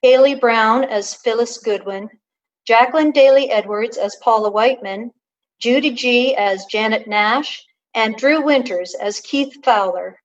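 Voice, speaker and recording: A woman's voice: fairly high pitch, unhurried. A woman announcer reading scripted closing credits for a radio play, on a dull, muffled recording. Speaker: narrator and announcer.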